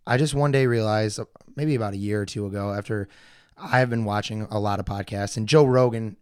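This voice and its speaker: A hoarse man talks loosely through his own live podcast, looping back with fillers and false starts. Under the roughness his voice still comes through smooth and resonant. The sound is dry and close, with no room around it. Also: on the low side, no echo. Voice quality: gravelly, usually hoarse. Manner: rambling, with many fillers and restarts. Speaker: podcast host. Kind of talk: casual live solo podcast talk.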